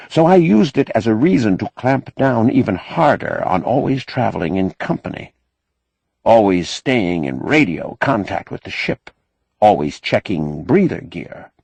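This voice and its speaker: Man playing weary gravelly spaceship captain. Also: on the low side, sing-song, unhurried, a little mellow, no room sound, exaggerated voice. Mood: gruff, firm. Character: ageing male spaceship captain, weary, haunted, commanding.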